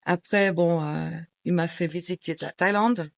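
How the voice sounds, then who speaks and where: A woman's voice: fairly low pitch, fairly even intonation, unhurried, creaky. A middle-aged woman from Portugal, chatting in an interview, hesitant, with many fillers and restarts. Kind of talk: casual interview chat.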